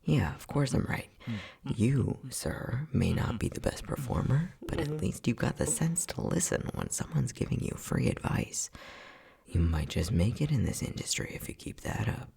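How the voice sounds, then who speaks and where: A man's voice: mid-range voice, very animated, moderate pace, gravelly, dark tone, slightly rough, exaggerated voice. A man playing an unhinged, bossy scientist who teases and condescends in a sardonic ASMR roleplay. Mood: mocking, theatrical. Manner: sardonic, teasing, condescending, with frequent sighs.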